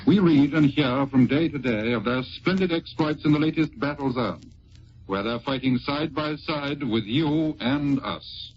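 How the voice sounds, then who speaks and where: A man's voice: medium pitch, measured pace. A man announcing in a formal, scripted style for a 1940s wartime radio broadcast. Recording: noisy muffled 1940s radio recording.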